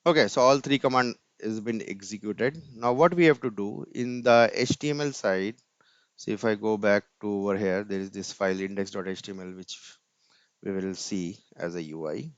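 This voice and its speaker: Low-voiced man giving a software tutorial. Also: moderate pace, dry recording, muffled recording. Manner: step-by-step, often checks with "right?". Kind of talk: informal screen-share coding tutorial.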